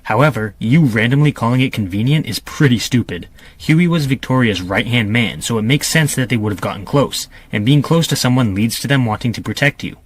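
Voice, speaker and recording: A man with a slightly husky voice delivers scripted rebuttals. He talks down to his opponent in a dismissive, know-it-all way. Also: medium pitch, lively intonation, brisk. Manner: dismissive, condescending. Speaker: rebuttal commentator.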